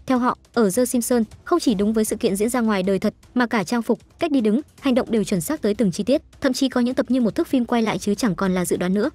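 A woman's voice: fairly high pitch, quick pace, hardly any pauses, a little mellow, dry recording. A woman reading scripted entertainment news as a narrator. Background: background music.

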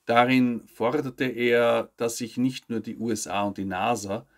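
A man, reading scripted news commentary to camera in a measured, formal way. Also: medium pitch, little pitch movement. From Austria. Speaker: news show host. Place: indoor studio with plain backdrop.

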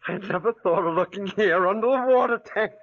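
An actor playing a desperate, greedy small-town killer with excitable, folksy American speech in a 1940s radio drama. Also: male voice, high-pitched, a little fast. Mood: agitated, greedy. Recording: muffled old radio recording.

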